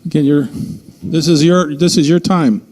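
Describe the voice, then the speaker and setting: Male official addressing a meeting, dark relaxed tone, slightly high pitch, informal and halting. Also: in a large hall. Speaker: official introducing police staff at a meeting. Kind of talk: informal public remarks. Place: indoor city council meeting.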